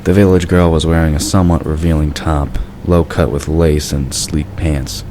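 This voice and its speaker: A male narrator reads a scripted story. His voice is exceptionally deep and low. A faint layer of background noise runs underneath. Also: fairly even intonation, normal speed.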